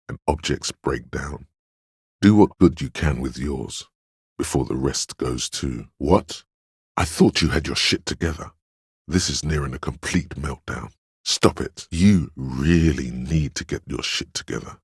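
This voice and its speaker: A man voicing a steadfast inner voice of willpower in a video game, a stern yet encouraging mentor with a measured, solemn delivery and a gravelly, husky tone. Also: rumbling low, no room sound, clean studio sound, heavily acted. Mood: steadfast encouragement. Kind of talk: scripted game narration, second-person counsel.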